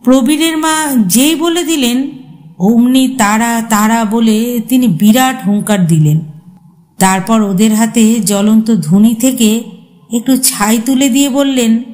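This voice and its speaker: A woman's voice: on the high side, unhurried, mellow. A woman storyteller giving a dramatic scripted narration of a horror tale.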